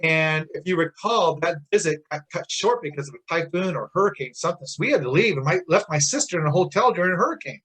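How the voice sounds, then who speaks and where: Chatty man in his sixties, higher-pitched voice. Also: lively intonation, quick pace, few pauses, a bit bright, husky, poor audio. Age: 60s. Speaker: interviewer, Navy veteran. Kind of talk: casual conversational interview.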